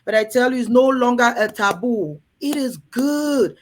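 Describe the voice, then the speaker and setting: A woman's voice: on the high side, lively intonation, moderate pace. A woman from Cameroon hosting a frank talk to women in a room, earnest and coaxing, often turning to the ladies in her audience. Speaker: host.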